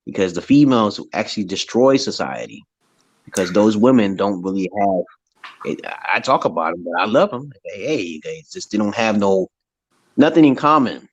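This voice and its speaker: A man with a deep voice in casual, opinionated chat, talking in a loose, slangy way and laughing often. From United States. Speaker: conversation partner in an English chat.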